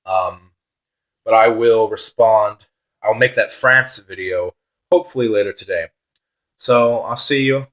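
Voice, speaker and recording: A young man giving casual football reaction commentary on his own channel, enthusiastic and repeating phrases for emphasis, his voice dry and slightly raspy from talking so long. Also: on the low side, unhurried, pauses often, a bit bright, low-quality recording. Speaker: football vlogger.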